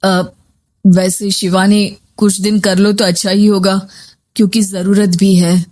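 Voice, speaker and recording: A man's voice: sits high, little pitch movement, a little slow, a little mellow. A man voicing a troubled, earnest family man in a moral story. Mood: worried. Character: troubled husband or stern father in a family story. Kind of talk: story voice acting.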